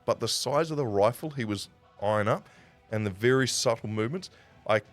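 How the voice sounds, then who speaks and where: A man with a New Zealand accent telling stories casually as a livestream host, in an animated raconteur's way with dramatic pauses. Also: lowish voice. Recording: music playing behind the voice. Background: background music.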